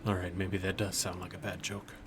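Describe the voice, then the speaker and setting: An aloof Grim Reaper played by a man speaks in a lowish voice. He is terse and matter-of-fact, detached yet quietly protective. Music plays clearly behind this audio drama performance. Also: completely flat delivery, fairly quick, close to an everyday voice. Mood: subdued. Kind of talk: scripted audio drama acting.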